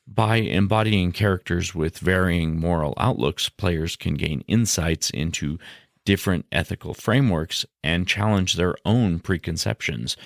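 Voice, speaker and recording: A man presents a scripted educational podcast as its host. His voice sits very low and often creaks into fry. The sound is dry and close, and he explains things in a measured way. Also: dry recording.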